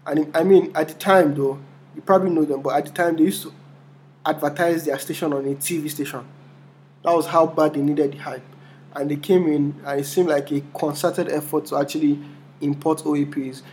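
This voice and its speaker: Relaxed Nigerian man, slightly high voice. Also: brisk, a little hiss or hum. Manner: relaxed, explains with fillers. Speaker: podcast co-host. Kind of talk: casual podcast chat.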